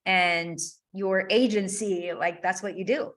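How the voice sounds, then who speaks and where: A woman's voice: medium pitch, fairly even intonation, takes it slowly, a bit bright. A woman hosting a podcast interview, summing up and reflecting back her guest's story in a chatty way. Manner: summarizing and reflecting back, frequent "like". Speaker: podcast host. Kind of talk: interview conversation.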